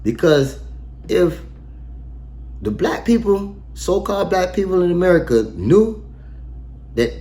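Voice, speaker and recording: A man's voice: sits high, sing-song, mellow. A man reading scripture verse by verse and explaining it in casual, slangy, emphatic talk with rhetorical questions. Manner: asks rhetorical questions and pauses for effect. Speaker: online bible teacher. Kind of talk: casual verse-by-verse bible breakdown.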